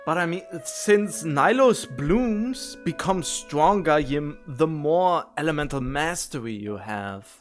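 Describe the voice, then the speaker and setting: A man's voice: on the high side, expressive, slow pace, few pauses, faint music behind. A man livestreaming a video game, chatting casually and thinking aloud in a rambling, musing way, often correcting himself.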